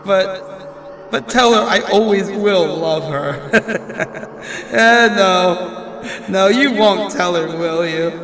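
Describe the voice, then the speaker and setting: Man acting roomy, distant voice of a doomed haunted man, high, halting, distraught, horror audio drama. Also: fairly bright tone, exaggerated voice. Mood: unhinged, sorrowful. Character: haunted, doomed man recording his terrifying testimony. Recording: roomy, slightly distant voice with music.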